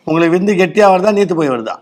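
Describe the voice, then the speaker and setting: Man, Tamil Nadu accent, very high voice, rapid delivery, doctor on a phone-in, blunt explainer. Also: animated. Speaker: doctor advising a caller. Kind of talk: medical phone-in consultation.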